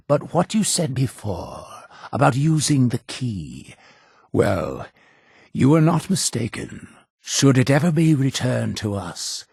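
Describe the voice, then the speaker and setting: Zealous cult leader, video game villain, husky rasp, preachy, imperious, dry close sound. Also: male voice, fairly low pitch, no echo, exaggerated voice. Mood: reverent, preaching. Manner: theatrical, preachy, menacing, swinging from hushed to shouting. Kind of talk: dramatic video game voice acting.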